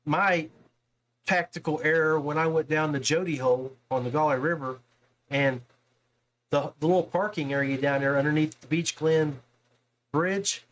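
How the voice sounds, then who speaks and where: A man's voice: on the high side, steady tone, normal speed, a bit bright, a little hiss or hum, poor audio. A man with an American accent chatting casually as the host of a podcast. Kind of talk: casual chat.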